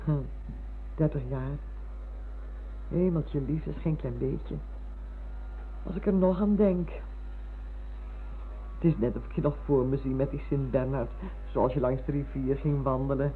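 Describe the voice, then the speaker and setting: A woman's voice: extremely low pitch, a little slow, long pauses, a little hiss or hum. Elderly ex-schoolmistress, motherly, insistent, hesitant. Radio drama, narrow-band humming old recording. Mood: wondering. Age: older. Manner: hesitant, repeating words, exclaiming.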